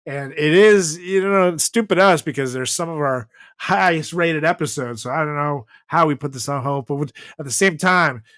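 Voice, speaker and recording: High-voiced man, profane joking podcast host. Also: normal speed, few pauses, a bit bright, dry recording, clean studio sound. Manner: profane, joking, rambling storyteller. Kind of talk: casual comedic podcast banter.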